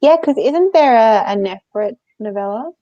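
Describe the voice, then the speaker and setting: A woman's voice: on the high side, lively intonation, normal speed, mellow. A woman co-hosting a book readalong live show in giggly, informal casual chat. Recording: dull, roomy lo-fi sound.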